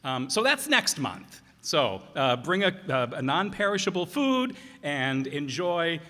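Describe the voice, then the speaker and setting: A man addresses an audience from a stage in an unscripted, halting way. His voice is bright and forceful and slightly high, with a slow pace, and the hall adds long reverb. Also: big pitch swings. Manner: halting, throat-clearing. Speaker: director of community radio plays. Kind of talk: informal, unscripted announcement to an audience.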